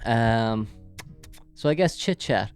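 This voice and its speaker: Irish male podcast host, mid-pitched, smooth clear delivery, rambling, jokey chat. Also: lively intonation, slow, faint music behind. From Ireland. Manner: rambling and jokey, with frequent fillers. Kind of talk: casual solo podcast chat. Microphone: big studio microphone.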